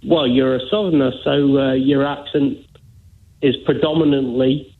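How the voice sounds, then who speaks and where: A man from Scotland calling a phone-in over a narrow phone line, arguing in a halting, insistent, repetitive way. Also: mid-range voice, fairly even intonation. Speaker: phone-in caller. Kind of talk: argumentative phone call.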